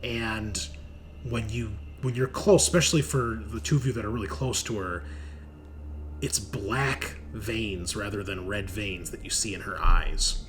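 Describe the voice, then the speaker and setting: A man's voice: lowish voice, measured pace, a bit bright. A man speaking as game master, casually improvising narration for a tabletop game. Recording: clearly audible background noise.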